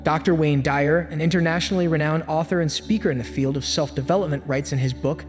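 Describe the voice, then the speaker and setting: A man giving scripted self-help narration with an even, steady storytelling delivery. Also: fairly high pitch, fast. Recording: long hall reverb with faint music. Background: faint background music.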